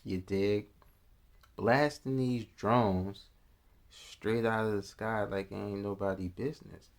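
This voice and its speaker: A man's voice: fairly low pitch, steady tone, takes it slowly. A man vlogging a casual reaction, musing aloud in a loose, slangy way with restarts and asides. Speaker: vlogger reacting to news clips.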